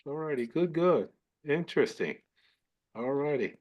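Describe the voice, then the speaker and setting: A man's voice: fairly high pitch, lively intonation, normal speed, a little mellow. A man hosting an online panel, casual, upbeat and jokey, often repeating his words. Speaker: webinar host. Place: online webinar call.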